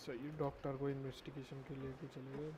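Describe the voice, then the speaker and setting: Very fast-talking Indian male game streamer. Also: medium pitch, flat delivery. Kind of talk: casual live gameplay commentary. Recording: noisy live stream with game sound.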